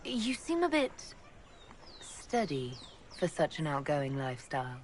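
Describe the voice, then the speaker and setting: An actress playing a composed elven mage who leads in a fantasy game, speaking in a measured, direct way. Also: female voice, sits low, sing-song, a little hiss or hum. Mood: calm, probing. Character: composed elven woman mage, leader of an inquisition. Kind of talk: video game dialogue.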